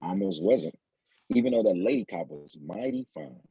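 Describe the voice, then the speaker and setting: An actor playing a cocky, smooth-talking street hustler in an audio drama, husky and gravelly, with slangy, wry delivery. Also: male voice, fairly low pitch, expressive, normal speed, mellow, no room sound, poor audio. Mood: easygoing.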